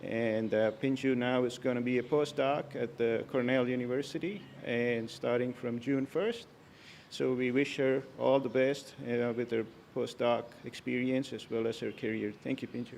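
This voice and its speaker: A man of middling pitch pays tribute to his student at a graduation ceremony. He speaks in a measured way and pauses now and then on a filler. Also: fairly even intonation, gravelly, a little mellow, a little hiss or hum. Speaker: faculty advisor. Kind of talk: ceremony introduction speech.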